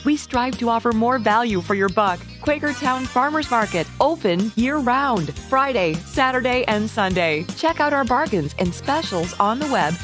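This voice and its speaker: Lady doing a radio ad read, slightly high voice, music behind her. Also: a bit bright. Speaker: advertisement announcer. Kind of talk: scripted radio commercial narration.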